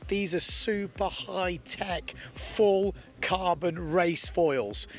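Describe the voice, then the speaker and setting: A man's voice: sits high, very slow. A man presenting a windsurfing event report with energy, explaining things to viewers emphatically. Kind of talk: enthusiastic sports report presenting.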